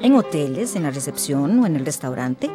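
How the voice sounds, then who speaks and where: A woman's voice: deep voice, big pitch swings, moderate pace. A woman playing a middle-aged domestic worker in a radio drama, sly and grumbling, with a coaxing, confiding manner. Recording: music behind the voice.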